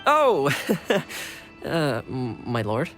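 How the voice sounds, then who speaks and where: Low, very animated loyal retainer. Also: female voice, extremely slow, soft background music, heavily acted. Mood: flustered. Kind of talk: scripted video game dialogue.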